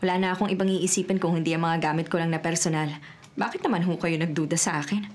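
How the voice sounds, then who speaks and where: A woman's voice: lowish voice, fairly even intonation, quick pace, darkish tone, close to an everyday voice. An actress playing a cunning female forger who is posing as someone else, acting and narrating in a Filipino radio drama. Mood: polite, calculating. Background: dramatic music.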